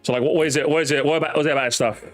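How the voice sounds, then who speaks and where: A man in his thirties hosting a livestream, chatting casually, animated, swearing and laughing often. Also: fairly high pitch, rapid-fire, faint music behind. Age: 30s. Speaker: streamer host.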